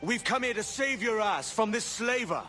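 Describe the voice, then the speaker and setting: Game hero: pirate, bold, sardonic, forceful high delivery, faint music. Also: male voice, expressive, moderate pace, recorded from a distance. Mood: urgent, persuasive. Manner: blunt, sardonic. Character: bold, defiant male pirate captain. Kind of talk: video game cutscene acting.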